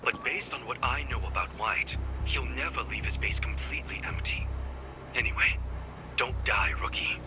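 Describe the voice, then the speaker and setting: A man playing a terse hushed hacker. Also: medium pitch, normal speed, noisy background, natural voice. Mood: urgent. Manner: curt, clipped, often hushed. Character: terse male hacker ally guiding by radio. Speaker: game character. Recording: game audio with loud music.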